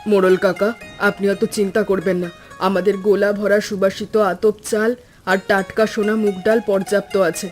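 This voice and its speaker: A woman's voice: medium pitch, level tone, fairly quick, a little mellow, faint music behind, natural voice. A woman playing a caring, capable village housewife and farmer's wife in a scripted cartoon. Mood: reassuring.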